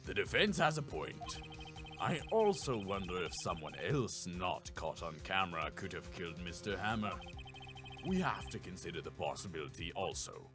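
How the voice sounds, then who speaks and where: A man voicing a slow, pondering elderly judge in a courtroom game, with music behind. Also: deep voice, very animated, pitched below the performer's own voice. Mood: ponderous.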